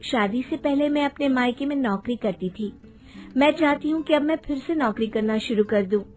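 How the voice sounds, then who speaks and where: A woman voicing a polite, hesitant young married daughter-in-law in a cartoon story, deferential and gently pleading. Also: high-pitched, normal speed, faint music behind, pitched above the performer's own voice, natural voice. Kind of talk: Hindi cartoon story dialogue.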